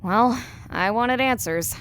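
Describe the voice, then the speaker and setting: A woman's voice: a little high, takes it slowly, a bit bright. A woman playing an uneasy but resolute character in an audio drama, muttering to herself with resignation. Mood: uneasy resolve.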